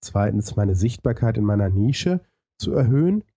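A man speaks with a very mellow, slightly low voice and an audible lisp. He answers like an interview guest, laying out his story in structured points with hesitations. The recording is very dry. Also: big pitch swings, moderate pace, slightly rough, dry recording. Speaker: interview guest, podcaster and consultant.

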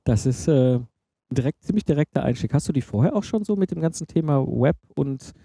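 A man's voice: a little high, animated, a little fast, vocal fry, mellow, breathy edge, no echo, clean studio sound. A man hosting a podcast interview in a casual, conversational style.

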